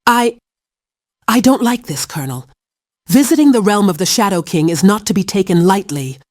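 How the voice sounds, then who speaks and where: A woman's voice: medium pitch, animated, moderate pace, a little mellow, dry recording, clean studio sound, lightly acted. A woman voicing a cautious, grave superheroine in a game, formal and serious. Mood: grave concern. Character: grave, cautious heroine versed in the occult. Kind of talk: fan-made game character voice clips.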